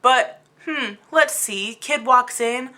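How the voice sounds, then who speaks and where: A woman's voice: a little high, slow, bright, forceful tone. A woman giving a casual, rambling video review in an exasperated, emphatic and incredulous manner. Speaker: book review vlogger.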